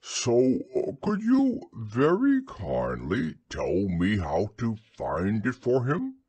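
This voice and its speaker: A man voicing a humble, earnest, slow-witted bear in a storybook, with a plodding manner. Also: on the low side, wildly swooping pitch, a little mellow, husky, no echo, heavily acted. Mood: glum, earnest. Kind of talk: storybook character reading.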